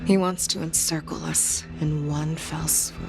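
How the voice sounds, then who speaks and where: Low-voiced, shrewd commander. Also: female voice, fairly even intonation, measured pace, gravelly, rough recording. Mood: composed, resolute. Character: shrewd, composed female military commander. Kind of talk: video game voice acting. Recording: music under the voice.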